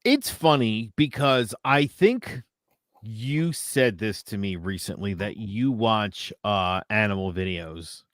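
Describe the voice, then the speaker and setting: A man co-hosting a casual podcast, bringing up topics and telling stories in a relaxed way. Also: lowish voice, slow, dry recording, studio quality. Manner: relaxed, storytelling, raises topics. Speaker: podcast co-host.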